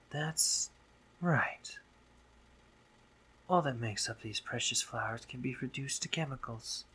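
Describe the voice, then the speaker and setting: A man playing a dominant, playful spider monster boy in a romantic roleplay audio drama, teasing and doting in deliberate phrases with breathy murmurs. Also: mid-range voice, sharp tone, full character voice. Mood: teasing, attentive. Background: ambient sound effects.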